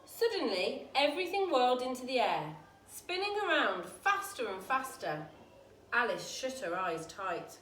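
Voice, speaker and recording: A woman narrates a storybook for young children with lively, swooping intonation. Her voice sits fairly high. She is recorded at a distance in a roomy space. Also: a little slow. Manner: animated, dramatic reading. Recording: roomy, distant mic with faint noise.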